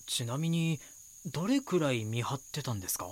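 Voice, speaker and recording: An actor playing a young magazine reporter in an audio drama, polite, earnest and inquisitive. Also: male voice, medium pitch, sing-song, normal speed, dry recording. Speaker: magazine reporter and first-person narrator. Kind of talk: Japanese audio drama dialogue and narration.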